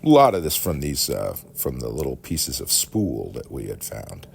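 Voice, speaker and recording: Very deep, gravelly man telling stories. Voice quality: gravelly, husky. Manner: halting, folksy, animated storyteller. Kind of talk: rambling personal storytelling, reminiscing.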